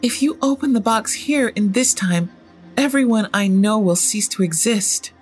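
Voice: mid-range voice, normal speed, slightly rough, soft background music, voice pushed up, lightly acted. A storyteller voicing a blunt, sarcastic teenage girl who questions things with open disbelief in an audio horror story. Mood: pleading.

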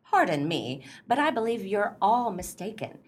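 Woman acting a prim, pedantic, animated club lady. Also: medium pitch, normal speed. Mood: pedantic certainty. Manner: prim, hesitant, proper. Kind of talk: radio play acting.

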